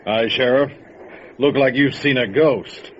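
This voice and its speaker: A man's voice: medium pitch, a little slow, close to an everyday voice. An actor playing a sly backwoods mountain man in a radio horror drama, wry and cryptic. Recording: dull, noisy vintage-style radio drama audio.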